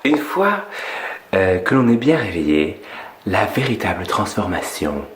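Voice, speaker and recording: A man from Canada putting on a European French accent with some Québécois turns, speaking as a singer and vocal coach in a casual, humorous vlog, mock-earnest and halting as he drifts into asides. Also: deep voice, sing-song, slightly rough. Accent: French, deliberately European French with some Québécois turns.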